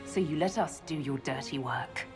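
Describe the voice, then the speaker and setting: A woman's voice: low voice, moderate pace, natural voice. An actress playing a resolute female military leader in a video game, speaking in a firm, direct and earnest way. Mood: challenging. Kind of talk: dramatic video game acting. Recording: loud orchestral game music behind.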